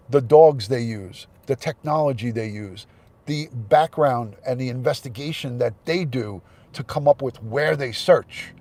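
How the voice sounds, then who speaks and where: A man's voice: mid-range voice, darkish tone. A man hosting a casual livestream, congested with a head cold, chatting and thanking viewers by name. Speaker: livestream host.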